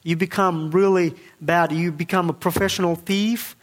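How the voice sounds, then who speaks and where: Young guy from kazakhstan, fairly high voice, earnest church testimony, lots of ums and restarts. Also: steady tone. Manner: earnest, halting, with many fillers and restarts. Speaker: guest speaker giving testimony.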